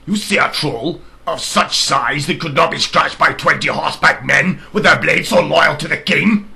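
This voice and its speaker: A man voicing an evil, ruthless king in a storybook reading, pompous and deliberate, with cold menace. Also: a little high, creaky, bright, forceful tone, voice pushed up, heavily acted.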